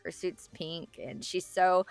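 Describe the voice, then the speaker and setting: A woman's voice: fairly low pitch, level tone, slow pace, clean tone, no echo, soft background music. A woman voice actor speaking with enthusiasm about a character she voices, in an interview. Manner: enthusiastic, affectionate. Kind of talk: interview recollection.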